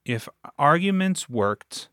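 A man's voice: on the high side, big pitch swings, slow pace, fairly bright tone, smooth, no room sound. Man, podcast guest, audio drama producer, earnest and emphatic, conversational, dry close sound.

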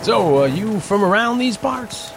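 Inquisitive, gravel-edged young reporter. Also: male voice, high voice, animated, a little slow, music in the background, full character voice. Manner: hesitant, inquisitive. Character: eager, inquisitive young male newspaper reporter, a bit nervous. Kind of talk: western audio drama acting with first-person narration.

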